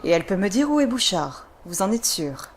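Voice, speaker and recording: A woman's voice: mid-range voice, big pitch swings, a little fast, natural voice. A woman acting a cool, menacing female adventurer in a video game fan dub, with an assertive, dryly threatening manner. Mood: probing, dry. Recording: faint background noise.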